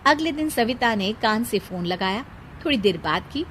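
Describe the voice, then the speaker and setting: A woman's voice: on the high side, animated, normal speed, fairly bright tone, a little hiss or hum. A woman narrating a scripted moral story as its storyteller.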